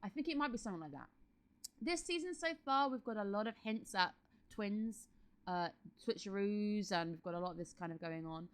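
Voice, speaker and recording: A woman with a stuffy cold voice, chatting casually as she reviews an anime episode and thinks out loud. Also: medium pitch, animated, moderate pace, clean tone. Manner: chatty, thinking aloud with restarts. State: congested from a cold.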